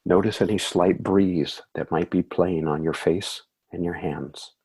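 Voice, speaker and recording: A man leading a guided meditation in a soothing, measured way, with calm phrasing and gentle affirmations. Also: sits low, creaky, breathy edge.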